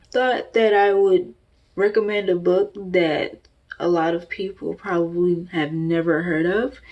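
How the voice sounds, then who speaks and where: A woman recommending a book on her channel, talking in a hesitant, halting way. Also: medium pitch, darkish tone, highs cut off. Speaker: book reviewer. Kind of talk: booktube recommendation.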